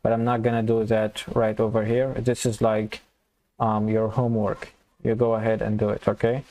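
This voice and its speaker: A man with a low, gravelly voice talks through a programming lesson as he types, often restarting his phrases. His tone is dark and mellow, slightly hoarse, and his delivery stays level and unhurried. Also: monotone. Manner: thinks aloud, restarts phrases while typing code. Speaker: programming tutor. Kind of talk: casual screen-recorded coding tutorial.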